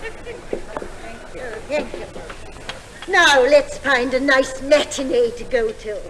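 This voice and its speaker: A woman's voice: high voice, slow. A woman playing a genteel, dithery older lady, polite and earnest, in a 1950s stage comedy sketch. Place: large theatre stage. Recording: very noisy 1950s theatre recording.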